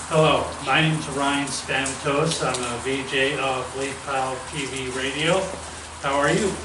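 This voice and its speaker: A man's voice: medium pitch, steady tone, measured pace. A man hosting a radio show and interview in a casual, goofy, rambling way. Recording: roomy, far from mic, noisy.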